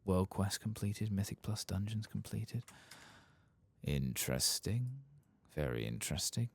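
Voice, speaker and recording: A man giving soft-spoken ASMR commentary over a video game, murmuring and sometimes slipping toward a whisper. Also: low voice, very animated, a little slow, no echo. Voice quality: soft, husky, gravelly. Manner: murmuring to himself, partly whispering.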